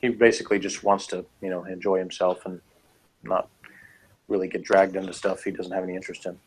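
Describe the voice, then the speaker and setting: A man's voice: low-pitched, little pitch movement, a little fast, slightly rough, poor audio. A man chatting casually as a reviewer, giving his opinions on an episode in a rambling way.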